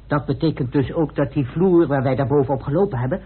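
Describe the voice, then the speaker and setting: Fussy precise researcher, highish raspy voice, tinny old radio drama. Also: male voice, fast talker. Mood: methodical, earnest. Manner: precise, explanatory. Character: Peacock, methodical, meticulous male researcher laying out evidence. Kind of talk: Dutch radio play acting. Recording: muffled, band-limited old radio recording.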